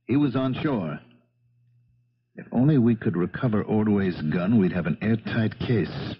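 An actor playing a shrewd, calm police lieutenant, measured and authoritative, in a 1970s radio drama. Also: male voice, fairly low pitch, breathy edge. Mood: businesslike. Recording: muffled 1970s radio broadcast.

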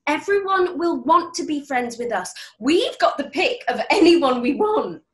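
A woman's voice: high-pitched, big pitch swings, measured pace, a bit bright, heavily acted. A young actress playing a confident, bossy eleven-year-old girl, assertive and precise, in a stage play table read on a video call. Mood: assured. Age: young adult. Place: plain room at home, video call.

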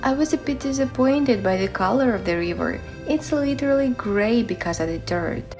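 A woman's voice: a little high, expressive, normal speed, a little mellow. A young woman reading a scripted voice-over as the narrator of a travel guide. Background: background music.

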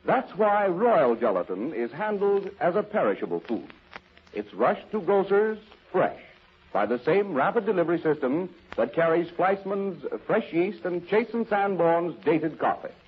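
High-pitched, animated 1930s radio announcer, man. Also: measured pace, husky. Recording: dull vintage 1930s radio broadcast.

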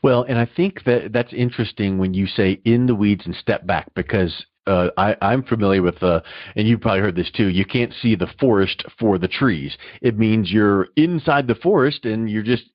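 A man's voice: fairly low pitch, normal speed, dry recording. A man talking casually as the host of a radio interview. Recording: dry, thin phone-like sound.

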